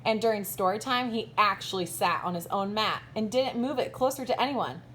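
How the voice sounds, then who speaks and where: A woman teacher reads a children's picture book aloud with lively, swinging intonation. She emphasises key words and pauses to question her young listeners. Faint noise sits behind her voice. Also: mid-range voice. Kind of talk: expressive children's storytime read-aloud with asides.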